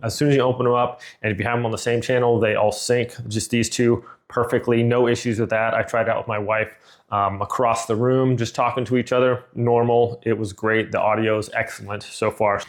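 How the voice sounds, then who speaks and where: A man's voice: medium pitch, little pitch movement, brisk. A middle-aged man giving an informal, rambling product review in a conversational way. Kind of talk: casual unboxing and review chat.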